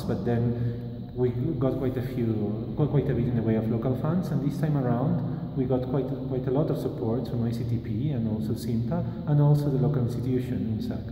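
A man in his thirties from Peru speaks in a very dark, mellow voice, pausing and restarting as he thinks. He is recorded on a phone held at arm's length in a large empty classroom. The room adds a long, roomy reverb and a faint hiss. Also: mid-range voice. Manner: thoughtful, with many restarts and fillers. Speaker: mathematician, school organizer. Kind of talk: casual interview answers. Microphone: phone camera at arm's length.

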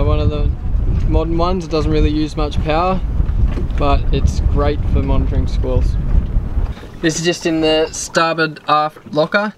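Relaxed man touring his sailboat. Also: on the high side, background noise. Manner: relaxed, explanatory, many fillers. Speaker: sailing vlog host. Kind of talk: casual boat tour walkthrough. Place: outdoors on a sailboat deck.

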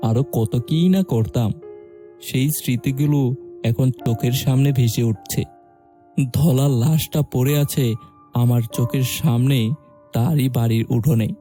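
Young male narrator for a spooky cartoon, very dark, mellow tone, steady and measured. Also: medium pitch. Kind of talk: scripted horror story narration.

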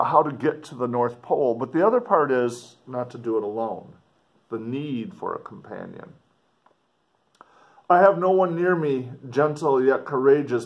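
Middle aged guy, teacher type reading out a book and explaining, measured w pauses, medium pitch.

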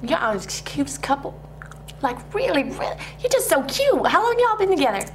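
A woman's voice: high-pitched, very animated, fairly quick, a little hiss or hum. A woman playing a gushing, nosy truck stop waitress in a comedy sketch, folksy and prying.

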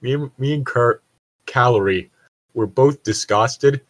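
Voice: mid-range voice, a little slow. A person reading fan fiction aloud and joking about it in a sweary, mock-exasperated way. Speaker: reader and commentator.

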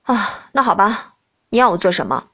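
A woman's voice: mid-range voice, big pitch swings, moderate pace, pushed and bright, husky, voice pushed down, exaggerated voice. A woman voicing a flustered young princess who lives in a prince's body, hesitant and protesting, in an audiobook. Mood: resigned. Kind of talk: audiobook character dialogue.

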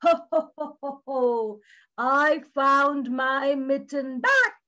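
A woman's voice: high voice, lively intonation, slow pace, bright, forceful tone, heavily acted. A woman voicing a jolly, hearty male Santa who exclaims happily in a children's story. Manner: ho-ho-ing, exclaiming.

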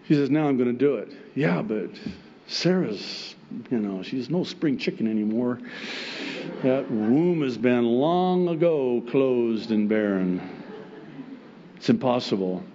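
A man's voice: mid-range voice, unhurried. Middle-aged pastor guy, arabic is his first language, preaching at the pulpit mic, chuckles a lot, kinda dull recording w faint hiss. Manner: animated, chuckling often, acts out God's replies. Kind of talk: sermon. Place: church stage with wood pulpit and plants, indoors. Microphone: pulpit microphone.

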